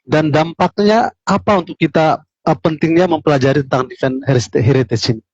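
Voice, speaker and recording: A man hosting an interview, asking measured questions with "uh" pauses. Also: fairly high pitch, darkish tone, no room sound. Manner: measured, "uh" fillers. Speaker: interview host. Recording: dull lo-fi sound.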